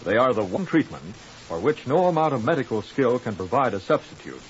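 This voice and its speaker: A man's voice: medium pitch, very animated, fairly quick. A man announcing in formal, earnest 1950s radio style, reading from a script. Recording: muffled, hissy 1950s radio recording.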